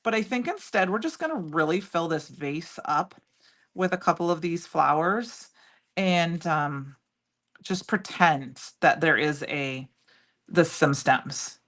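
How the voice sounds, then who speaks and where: A middle-aged woman with a loud voice, chatting and rambling as she demonstrates a craft project live and answers viewers by name. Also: low voice, takes it slowly. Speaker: crafting livestream host. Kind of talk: casual chat while demonstrating crafts.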